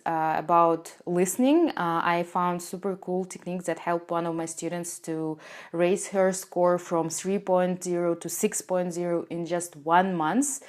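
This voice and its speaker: A woman's voice: on the low side, darkish tone. A woman in her twenties whose first language is Russian, giving earnest step-by-step study tips to camera, with frequent "uh" fillers, recorded on a lapel mic in a small room. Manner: earnest, explains step by step with non-native phrasing and frequent "uh" fillers. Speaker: IELTS teacher and channel host. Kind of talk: casual to-camera tips video. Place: small indoor room with a door behind.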